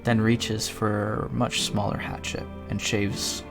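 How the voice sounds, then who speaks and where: A man reading game text aloud as an expressive narrator. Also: fairly low pitch, slow, vocal fry. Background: music.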